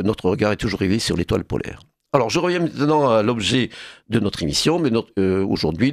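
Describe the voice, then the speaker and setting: A man with a Languedoc French accent hosting a radio talk show in a studio, speaking in a measured, hesitant way with many fillers. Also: mid-range voice, a little mellow, no echo. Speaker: radio host. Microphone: studio microphone.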